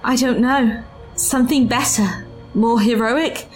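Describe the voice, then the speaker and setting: A woman's voice: a little high, measured pace, faint music behind, natural voice, rough recording. An actress playing an earnest, principled woman companion in an audio drama, indignant and let down. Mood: troubled. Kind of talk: full-cast audio drama acting.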